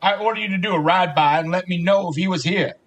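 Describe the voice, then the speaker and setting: Exasperated police boss, played by an actor, audio drama: male, slightly high voice, bossy. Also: quick pace, fairly bright tone.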